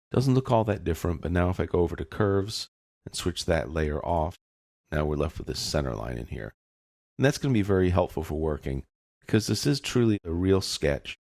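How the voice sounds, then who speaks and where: A man talks through a software lesson in a very low voice. There is plenty of fry in it, and the sound is dry and close. He explains each step informally, as an instructor would. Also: dry recording. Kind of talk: step-by-step screencast lesson, casual.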